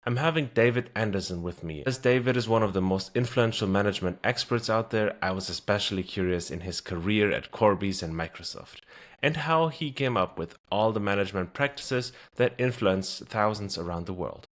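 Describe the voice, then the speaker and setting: A man's voice: lowish voice, a little fast. A man hosting a podcast, opening the show and interviewing his guest in an upbeat, informal way. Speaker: podcast host.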